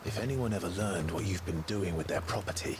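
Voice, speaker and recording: A man's voice: low voice, a little fast, background noise, close to an everyday voice. A man playing a terse, grimly determined young warrior in a video game, curt, guarded and serious.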